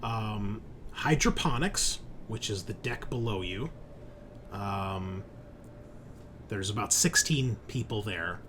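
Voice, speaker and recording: A man's voice: lowish voice, expressive, slow, background noise. A man narrating a sci-fi horror tabletop game as game master in a casual, descriptive, explanatory way.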